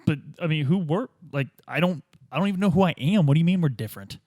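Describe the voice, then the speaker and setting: Higher-voiced man playing a tabletop game. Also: quick pace, a little mellow, no room sound, studio quality. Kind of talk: casual improvised roleplay.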